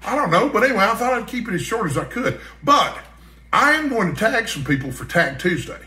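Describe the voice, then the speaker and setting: An American man with a somewhat high, bright voice talks casually to his viewers. His manner is folksy and rambling, as in a homemade book vlog. The room around him adds a clear echo. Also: very animated, fairly quick. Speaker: book vlogger. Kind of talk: casual chat to camera. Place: indoor room at home. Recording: echoey, roomy sound.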